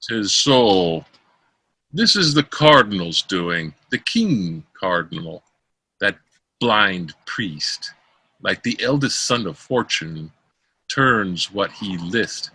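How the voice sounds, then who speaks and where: A man reading Shakespeare verse drama aloud as an amateur, in a theatrical, declaiming manner with a husky voice. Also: sits low, lively intonation, takes it slowly, pushed and bright, no echo. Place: close dry room, online call.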